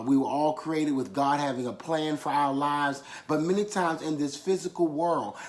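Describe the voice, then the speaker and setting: A man's voice: a little high, darkish tone. Male preacher delivering an emphatic sermon. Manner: emphatic repetition with call-and-response affirmations.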